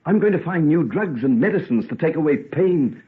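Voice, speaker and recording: An actor playing an ardent, pleading young medical student in an earnest, impassioned old-time radio drama style. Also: male voice, fairly high pitch, lively intonation, normal speed, breathy edge. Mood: fervent, hopeful. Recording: muffled, tinny vintage radio recording.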